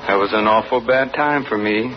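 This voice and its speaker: An actor playing an earnest young farm son with plain rural speech, in 1940s radio acting style. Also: male voice, fairly low pitch, moderate pace, lightly acted. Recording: muffled old radio recording with music.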